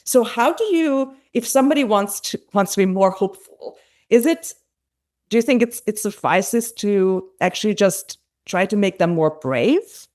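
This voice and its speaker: A woman's voice: mid-range voice, animated, normal speed, a little mellow. Woman, from Hungary, podcast host, mid-range voice, thoughtful, hesitant phrasing. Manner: thoughtful, searching for words, many restarts. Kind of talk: conversational interview.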